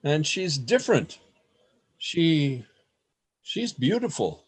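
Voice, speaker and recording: A man as an earnest, husky-voiced poet. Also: fairly high pitch, sing-song, takes it slowly, exaggerated voice. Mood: earnest, smitten. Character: earnest, wide-eyed male poet newcomer, narrating. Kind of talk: radio play acting and narration. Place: remote home recording over video call. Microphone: video call.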